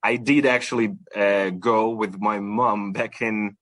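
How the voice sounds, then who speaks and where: A man's voice: lowish voice, steady tone, slow pace, fairly bright tone, dry recording. A young man from Ukraine, chatting as a podcast guest with many fillers and restarts. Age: young adult. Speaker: supporters club guest. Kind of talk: casual podcast interview.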